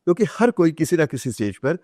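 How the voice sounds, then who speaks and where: भारतीय लहजे में किस्से सुनाता पुरुष, साफ़ सूखी रिकॉर्डिंग. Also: male voice, on the high side, big pitch swings, fast talker, darkish tone, dry recording, clean studio sound. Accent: Indian. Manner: explanatory, acts out quoted remarks; switches between Hindi and English. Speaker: author, interview guest. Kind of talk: conversational storytelling on a podcast.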